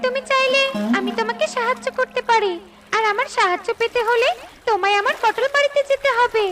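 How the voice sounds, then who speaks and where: Woman as a very high, kindly mother duck. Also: a little fast. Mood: sympathetic, coaxing. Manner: sympathetic, coaxing. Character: kindly mother duck who is secretly a fairy. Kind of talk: Bengali cartoon dialogue. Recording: loud music bed under voice. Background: music.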